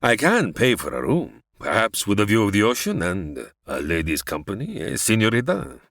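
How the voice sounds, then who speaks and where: A man's voice: on the low side, wildly swooping pitch, moderate pace, vocal fry, fairly bright tone, no room sound, studio quality, exaggerated voice. A man playing a smarmy Cuban policeman with a Cuban Spanish accent and polite menace, in a comedy audio drama. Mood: smug, leering. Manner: giggling, leering, polite menace.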